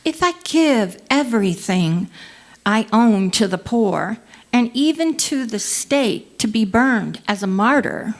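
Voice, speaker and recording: A woman's voice: a little high, animated, takes it slowly. A woman taking a part in a theatrical group reading of scripture. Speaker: reader in a group dramatic reading.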